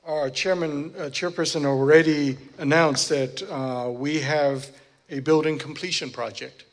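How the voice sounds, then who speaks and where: Male church interpreter with a fairly high voice, renders each phrase quickly and corrects himself as he goes. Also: steady tone. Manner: searches for words and corrects himself mid-phrase.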